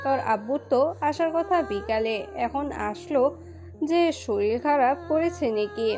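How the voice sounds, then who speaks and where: A woman voices a middle-aged mother in an audio story, motherly and concerned, in a notably high voice over clear music. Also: lively intonation, unhurried.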